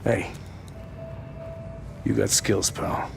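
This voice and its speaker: Gravelly, wry male field leader. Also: on the low side, steady tone, drawn-out words, over music, exaggerated voice. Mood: wry approval. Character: dry, gruff, weary male agency leader in wartime city. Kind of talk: conversational game cutscene dialogue.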